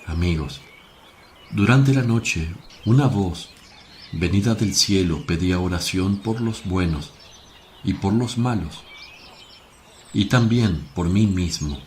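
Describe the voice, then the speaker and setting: An actor playing a grave, gentle, sorrowful teacher in a devotional radio play, speaking solemnly in measured phrases. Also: male voice, low-pitched. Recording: faint noise with birdsong. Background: birdsong and outdoor ambience.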